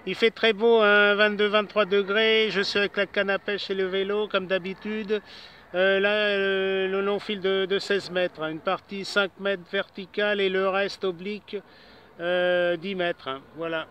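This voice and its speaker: A man talking on a portable ham radio from outdoors, giving his report in a brisk, matter-of-fact way. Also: high-pitched, little pitch movement, sharp tone, muffled recording. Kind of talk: casual radio chat. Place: outdoors in a park with a bicycle. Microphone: portable low-power radio. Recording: shortwave radio with faint static.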